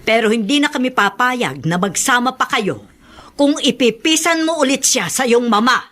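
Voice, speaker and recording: A high, sharp old mother-in-law, stern and blunt. The woman scolds with strongly swooping intonation in radio-drama style. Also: animated, moderate pace, soft background music. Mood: scolding, indignant. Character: stern, outspoken elderly mother-in-law, protective of her daughter. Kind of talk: Filipino radio drama acting.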